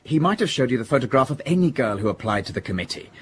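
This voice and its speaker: Casting: man as well-bred young gentleman, radio drama, cool logic, brisk assurance, animated pitch. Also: a little hiss or hum, natural voice. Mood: probing. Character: confident, logical young upper-class gentleman, cool sceptic. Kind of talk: period stage-play radio drama acting.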